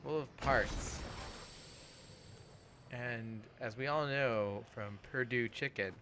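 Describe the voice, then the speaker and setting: A man's voice: medium pitch, takes it slowly, background noise. A man giving casual, rambling let's play commentary as he plays a video game, swearing freely. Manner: rambling, profane. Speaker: host playing a video game.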